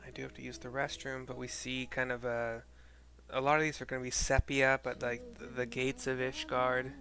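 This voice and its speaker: Halting man describing over game music. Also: medium pitch, completely flat delivery, sharp tone. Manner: halting, searching for words. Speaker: video game streamer. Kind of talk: casual improvised scene description. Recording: clear game music behind voice.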